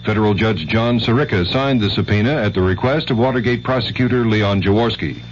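A man's voice: lowish voice, brisk. An American man anchoring network radio news, reading formally from a script. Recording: dull noisy 1970s AM radio recording.

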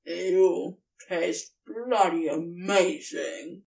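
Woman as storybook serpent creature, low drawl, slow, eager, affectionate, casual and rough-edged. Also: darkish tone, recorded from a distance, full character voice. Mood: gleeful.

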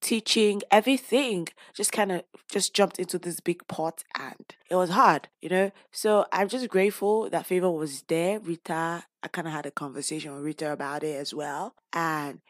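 A woman hosting her own podcast with a hoarse, worn voice, talking casually and openly with playful asides. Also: on the low side, moderate pace, few pauses, no room sound, studio quality. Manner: chatty and open, with playful asides. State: lost voice, hoarse. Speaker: podcast host; teacher. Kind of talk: casual personal podcast talk.

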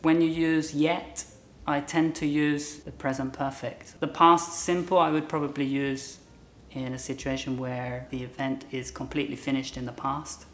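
British woman teacher chatting about usage, low voice, echoey room. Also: flat delivery, normal speed, slight background noise. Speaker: English teacher. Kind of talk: casual explanatory conversation.

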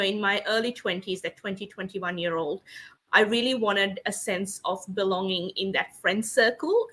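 A woman in her thirties, originally from Sri Lanka, answers interview questions as a podcast guest. Her voice is bright and forward, with an earnest and reflective manner. She talks in long, flowing sentences. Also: mid-range voice. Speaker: podcast guest, publisher and speaker. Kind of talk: interview storytelling.

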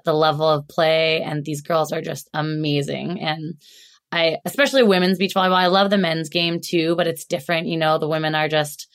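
A woman's voice: fairly low pitch, clear, resonant tone. A woman from Alaska, a chiropractor, talking casually as a podcast guest in a chatty, rambling way, full of "like"s. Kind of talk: casual interview chat.

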